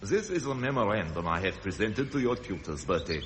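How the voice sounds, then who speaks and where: A man's voice: fairly low pitch, animated, a little fast, background noise, lightly acted. An actor playing a stern, middle-aged royal father in a 1970s radio drama, pedantic and disapproving, lecturing formally. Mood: pompous lecturing.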